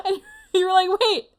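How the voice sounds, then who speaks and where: A woman co-hosting an anime review show, chatting casually in an expressive, playfully exasperated way. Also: mid-range voice.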